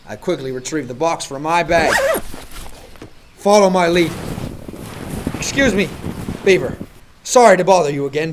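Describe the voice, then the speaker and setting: A man plays an earnest policeman narrating his own adventure in a silly radio play. The voice is fairly high, mock-serious and very courteous, with faint noise behind. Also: natural voice. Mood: polite, earnest.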